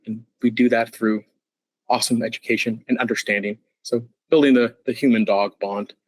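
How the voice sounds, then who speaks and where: A man's voice: mid-range voice, fairly even intonation, normal speed, no room sound. Stuffy-nosed man on a video call. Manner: chatty and self-deprecating, with small restarts. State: slightly stuffy from allergies. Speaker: dog trainer presenting a webinar. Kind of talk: friendly webinar presentation.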